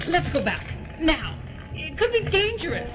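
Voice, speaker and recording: Woman acting high-voiced, wary, impatient adventurer. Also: huge pitch swings, normal speed, rough recording. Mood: alarmed, urgent. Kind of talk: audio drama acting.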